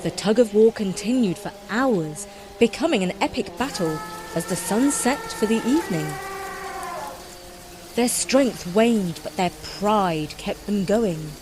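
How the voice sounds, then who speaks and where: A woman narrating a scripted children's story in an expressive, dramatic storytelling style. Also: medium pitch, measured pace, background noise.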